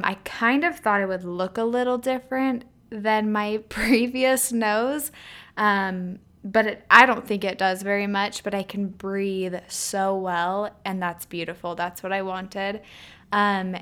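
A woman's voice: mid-range voice, fairly even intonation, a little slow, a bit bright. Chatty woman with a nasal, healing throat. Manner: casual and chatty, many ums and likes. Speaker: podcast host. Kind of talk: solo podcast chat.

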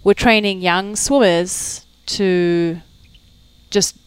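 A woman talks about her sporting past as a guest, in a relaxed, halting way full of fillers and lists that trail off. Her voice is smooth and resonant, at a middle pitch. The sound is dry and close. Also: no room sound, poor audio. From New Zealand. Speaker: guest, fitness coach. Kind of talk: casual interview chat. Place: home.

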